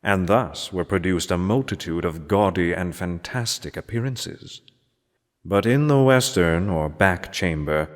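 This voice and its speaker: A man with a very low voice narrates a classic gothic horror story in a measured, theatrical way. His formal delivery rings out in a long, cavernous reverb. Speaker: amateur reader of a horror story. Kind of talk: dramatic scripted narration of gothic literature.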